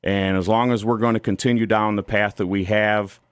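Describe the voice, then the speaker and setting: Smooth, lowish-voiced American man. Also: level tone, normal speed, no room sound. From Toledo, Ohio, United States. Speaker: studio interview guest, YouTube commentator. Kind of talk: opinionated political radio interview. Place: radio studio.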